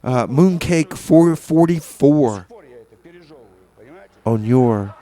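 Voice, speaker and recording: A man works the mic as a comedic community radio host. His delivery is rambling and halting, looping back over his own phrases. He keeps a mock-earnest, playfully exasperated tone as he moves between songs. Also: mid-range voice, animated, mellow. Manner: rambling, halting, repeats himself.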